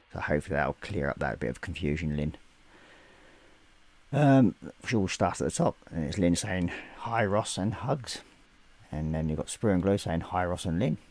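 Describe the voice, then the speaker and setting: A man in his forties with a slightly croaky voice, casually chatting on a live stream and reading out viewer messages as he paints. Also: low-pitched. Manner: reads chat messages aloud, relaxed and rambling. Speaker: livestream host. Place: small hobby room at home.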